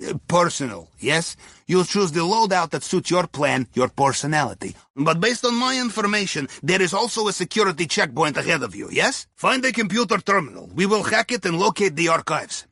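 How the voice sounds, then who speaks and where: An actor playing a jovial, crafty Russian submarine captain in a video game, planning heists in a wry, conspiratorial way with a raspy voice and clipped non-native phrasing. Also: male voice, sits high, sharp tone, dry recording, studio quality, full character voice. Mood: wry, genial. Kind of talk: video game mission dialogue.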